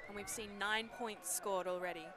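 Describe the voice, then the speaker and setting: A woman's voice: mid-range voice, steady tone, normal speed. A woman giving live colour commentary on a sports match as co-commentator, explaining the play in an emphatic way. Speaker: co-commentator, analyst. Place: outdoors beside a sports field. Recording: outdoor crowd and traffic noise. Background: crowd and outdoor ambience.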